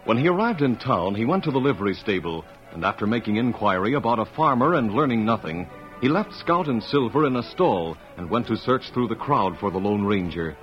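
A man's voice: on the low side, very animated, brisk, faint music behind. A man narrating a western adventure as a scripted old-time radio announcer. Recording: dull old radio recording.

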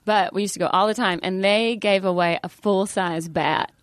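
A woman's voice: mid-range voice, fairly even intonation, moderate pace, dry recording, studio quality. A woman from Northern California co-hosting a casual comedy talk show in a playful, teasing way. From United States, Northern California. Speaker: podcast co-host.

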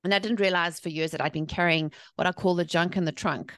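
A woman's voice: lowish voice, steady tone, fast talker, fairly bright tone, smooth, dry recording, clean studio sound. A middle-aged woman from South Africa with a South African accent, a podcast guest telling her story candidly and with animation. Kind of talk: conversational interview.

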